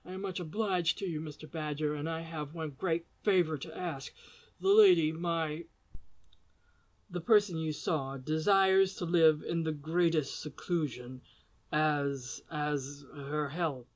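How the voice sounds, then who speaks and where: A woman reading an audiobook, voicing a grave, secretive gentleman who speaks low, hushed and stiff. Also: steady tone, a little slow.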